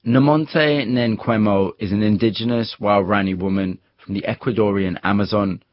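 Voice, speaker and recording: Low-voiced male radio presenter, measured. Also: no room sound. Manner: measured, storytelling. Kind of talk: scripted documentary narration. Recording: dull muffled broadcast audio.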